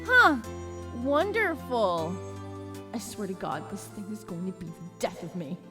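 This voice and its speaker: A woman's voice: medium pitch, wildly swooping pitch, a little slow, over music. A woman voicing an exasperated, sardonic senior lawyer and mentor in a comedic cartoon parody. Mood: awkwardly polite. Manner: dry, impatient, sarcastic.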